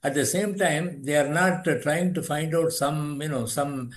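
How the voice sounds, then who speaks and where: An older man from South India with a Tamil accent, a yoga teacher and author explaining philosophy in a measured way, often pausing to search for words. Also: a little high. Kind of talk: interview explanation.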